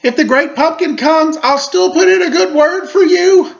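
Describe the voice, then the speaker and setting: A man's voice: extremely high pitch, moderate pace, gravelly, pitched above the performer's own voice. A man voicing an earnest, hopeful young boy who talks in an eager, pleading way. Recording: slightly dull lo-fi recording.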